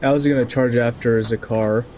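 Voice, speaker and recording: A man's voice: medium pitch, steady tone, normal speed, darkish tone. A man talking casually through his moves as a player in a tabletop role-playing game. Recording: clear background noise.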